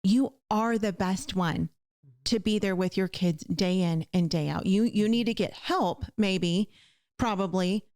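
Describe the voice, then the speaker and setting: A woman's voice: medium pitch, darkish tone, no room sound. Woman host in a close, dry recording. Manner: earnest, emphatic, directly addressing listeners. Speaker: podcast host. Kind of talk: heartfelt conversational encouragement.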